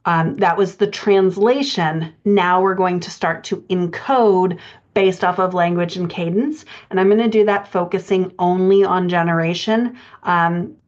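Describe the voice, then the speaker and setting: A woman coaching writers in an explanatory lecture style, speaking haltingly with pauses as she thinks through her points. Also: lowish voice, steady tone. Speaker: writing coach presenting a podcast lesson. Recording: dull, band-limited audio.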